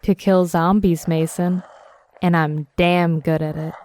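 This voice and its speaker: A young woman playing a tough, sardonic zombie killer in an audio drama, with a deadpan, teasing, brisk delivery. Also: fairly low pitch, lightly acted. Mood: cocky, coaching. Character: tough, sardonic twenty-five-year-old woman, cocky zombie killer. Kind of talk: audio drama acting, casual dialogue.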